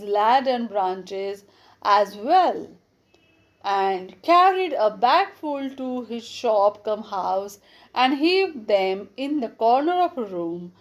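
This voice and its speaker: A woman narrating a scripted children's bedtime story, reading with deliberate, simple phrasing. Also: a little high, a little mellow.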